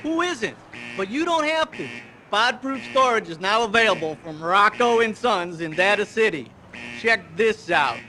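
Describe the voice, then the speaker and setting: A pushy salesman in a TV ad spoof for a video game. His voice is raised high and cuts sharply through clear background noise, punching every line of the hard sell. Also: expressive, normal speed, bright, forceful tone. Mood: eager, pushy. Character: pushy male TV commercial pitchman selling storage.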